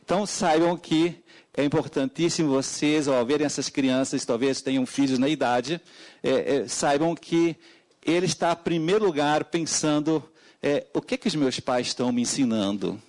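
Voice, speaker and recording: A man's voice: normal speed, a little mellow. A middle-aged man from Portugal with a European Portuguese accent, an educator giving formal testimony at a public hearing, with frequent hesitant fillers and sudden emphatic rises. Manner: many hesitant fillers, emphatic pitch jumps.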